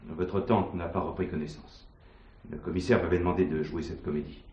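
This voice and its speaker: Actor as calm apologetic guy in a whodunit, very low voice, muffled echoey sound. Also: a little fast, close to an everyday voice. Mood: apologetic, reassuring. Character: apologetic, calm man explaining a staged ruse. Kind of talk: French stage mystery acting. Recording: echoey, dull stage sound, faint noise.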